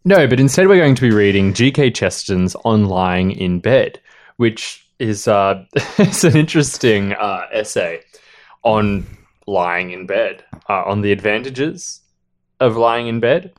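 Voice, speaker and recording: A man's voice: fairly low pitch, lively intonation, moderate pace. A man hosting a casual book podcast, playful and rambling, with a lot of fillers and jokes. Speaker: podcast host.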